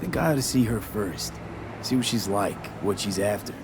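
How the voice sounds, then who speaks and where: A level-headed young mercenary, played by a man talks in short, blunt lines with a lowish voice. Game dialogue sits over loud music. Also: lively intonation, moderate pace, lightly acted. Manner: terse, matter-of-fact questions. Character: young male street mercenary, direct and cool. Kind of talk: video game voice acting.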